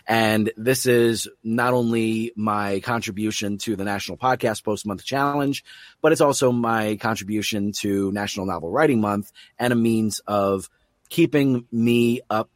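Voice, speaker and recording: A man with a fairly low voice hosts an interview podcast. He speaks with open enthusiasm and effusive praise, and the sound is close and dry, with hardly any room around it. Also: no echo. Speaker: podcast host. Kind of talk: interview show hosting.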